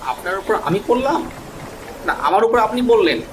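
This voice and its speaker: A man's voice: high voice, sing-song, normal speed, background noise. A man playing an eerie, mocking, argumentative ghost passenger in a cartoon horror story.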